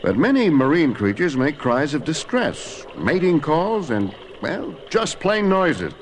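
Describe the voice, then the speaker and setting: Earnest scientist father, lively intonation. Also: a little high, a little hiss or hum. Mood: calm, explanatory. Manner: explanatory, earnest, measured. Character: knowledgeable, steady scientist father exploring the deep sea. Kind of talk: vintage cartoon adventure voice acting. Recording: prominent music behind the voice.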